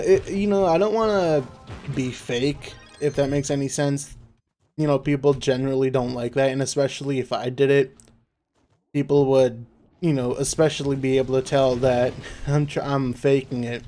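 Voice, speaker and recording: A young man talking casually in a laid-back monotone over his gameplay, with lots of fillers. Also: medium pitch. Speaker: gaming YouTuber.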